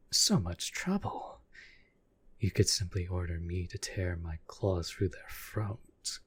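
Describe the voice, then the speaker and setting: A man playing a proud, possessive demon in a roleplay audio drama, speaking formally to the master he serves with menacing devotion in a husky, breathy voice. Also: low voice, dry recording, heavily acted. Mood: menacing, eager. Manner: formal, measured, menacing yet deferential.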